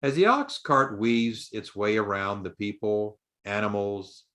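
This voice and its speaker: A man reading a story aloud as a narrator, with measured, deliberate phrasing and dramatic pauses. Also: lowish voice, a bit bright. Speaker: audiobook narrator.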